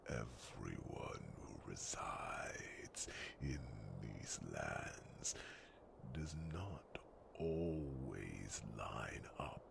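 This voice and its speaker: A man voicing a grave, wise fantasy creature of the forest in ASMR roleplay, with a breathy, gravelly whisper, formal phrasing and murmured pauses. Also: rumbling low, big pitch swings, full character voice. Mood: somber, measured.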